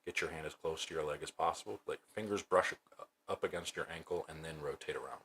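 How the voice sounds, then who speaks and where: A man with a low, deep voice hosts a casual livestream. He talks in a relaxed way, thinks aloud and restarts his sentences. A faint hiss sits under his voice. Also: quick pace. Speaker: streamer and bowling coach. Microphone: new desk microphone.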